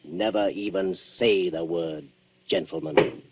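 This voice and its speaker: An actor playing an earnest, indignant gentleman in love, blunt and halting, in a 1940s radio thriller. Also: deep voice, noisy background. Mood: contempt. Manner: blunt, halting, stiffly resolute. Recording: muffled 1940s radio recording.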